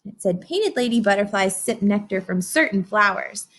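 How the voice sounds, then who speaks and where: A woman reading a children's book aloud like a teacher, expressive and instructive. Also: medium pitch. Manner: expressive, instructive, with dramatic pauses and hushed asides. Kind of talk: children's read-aloud with explanations and questions to listeners.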